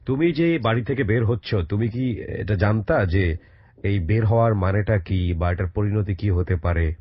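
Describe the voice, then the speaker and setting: A Bangladeshi man with a fairly deep, husky voice works as a radio interviewer. He puts probing questions about a guest's life. The sound is close and dry, as in a studio. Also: moderate pace, no echo, muffled recording. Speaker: radio show host, interviewer.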